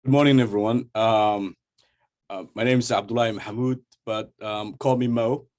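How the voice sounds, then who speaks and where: A man from Somalia, introducing himself informally and haltingly as a participant in an online workshop. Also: on the low side, steady tone, darkish tone. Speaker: workshop participant, cybersecurity entrepreneur. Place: online video call.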